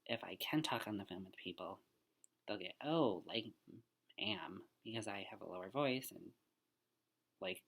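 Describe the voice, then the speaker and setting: A young man, a student, casually reflecting on a book he read for class. He talks earnestly in loose restarts and often trails off into a breathy near-whisper. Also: sits low, expressive, measured pace, pauses often, a bit bright.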